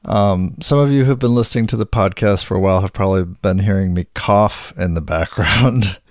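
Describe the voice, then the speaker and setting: A middle-aged man co-hosting a casual podcast chat, sometimes hoarse and clearing his throat, rambling with many restarts. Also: lowish voice, a little fast, dry recording, clean studio sound. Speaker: podcast co-host.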